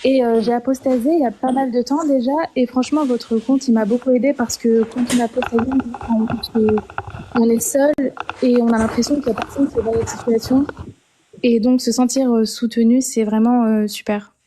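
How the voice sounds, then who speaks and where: A young woman from Algeria calling in to share her story, talking hesitantly with many pauses and restarts. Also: on the high side, steady tone, warm tone, a little hiss or hum, low-quality recording. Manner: hesitant, frequent filler sounds and restarts. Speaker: caller. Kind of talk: personal testimony on a call-in show.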